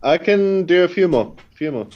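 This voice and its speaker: A man's voice: high voice, lively intonation, moderate pace, muffled recording, slight background noise. Man, Finnish accent, game developer, casual, joking, laid-back. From Finland. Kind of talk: casual gaming chat.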